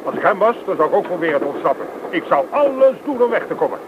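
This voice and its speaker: Emphatic, protesting male for radio drama, fairly high voice, dull lo-fi sound. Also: sing-song, fast. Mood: indignant. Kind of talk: radio play acting. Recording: dull radio recording, loud train noise.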